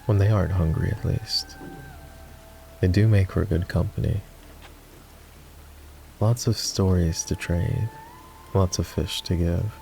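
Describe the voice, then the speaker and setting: Man playing a deep, gravelly, sardonic knight. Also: steady tone, measured pace, pauses often, darkish tone, soft background music. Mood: wry, reminiscing. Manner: sardonic, teasing, commanding. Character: gruff, sardonic fantasy knight, grudgingly escorting a princess. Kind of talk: roleplay ASMR voice acting.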